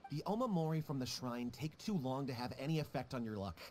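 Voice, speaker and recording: An actor voicing an anxious, stubborn young writer in a video game, flustered and pleading. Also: male voice, mid-range voice, animated, fast, darkish tone, slight background noise, lightly acted. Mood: insistent.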